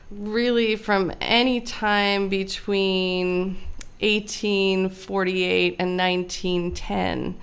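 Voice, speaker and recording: American woman with a creaky, clear voice. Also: medium pitch. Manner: measured, explanatory, often checks with "right?". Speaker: academic guest, lecturer on immigration history. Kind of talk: conversational explanatory interview. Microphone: podcast microphone on a stand.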